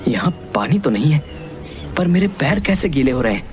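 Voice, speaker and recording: A man's voice: on the high side, sing-song, a little fast. A man playing a brave, anxious but determined young man on a quest in a cartoon horror story. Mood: puzzled. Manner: thinks aloud in exclamations and questions. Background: music.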